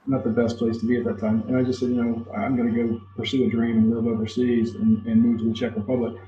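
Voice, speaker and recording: A man's voice: mid-range voice, level tone, fast talker. A man in his fifties interviewing conversationally, sharing his own experience as he leads into questions, on a muffled recording. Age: 50s. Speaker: interviewer, host. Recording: muffled, roomy sound with background noise.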